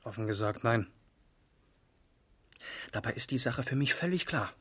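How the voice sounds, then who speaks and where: A methodical East German police investigator in a radio play. He speaks in a medium-pitched voice, controlled and precise, sober and matter-of-fact. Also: animated, moderate pace, sharp tone, breathy edge. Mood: sober, reporting. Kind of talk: 1970s German radio crime drama acting.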